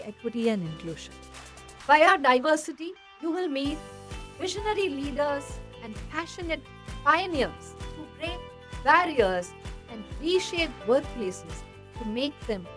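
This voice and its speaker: A woman's voice: sits high, a bit bright. A woman with an Indian English accent hosting a podcast, reading a formal intro and then asking her guest questions in an effusive way. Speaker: podcast host.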